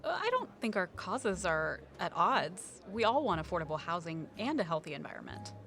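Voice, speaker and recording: A woman's voice: on the low side, sing-song, normal speed, a bit bright. An actress playing a knowledgeable woman conservation officer and sleuthing sidekick in a naturalistic audio drama, informative and wry. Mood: polite.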